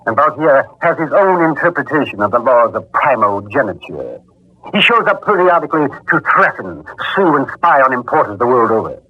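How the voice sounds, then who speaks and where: Lively, husky, cagey art importer. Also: male voice, mid-range voice, brisk. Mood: smooth, condescending. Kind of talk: 1940s radio acting. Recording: dull vintage 1940s radio recording.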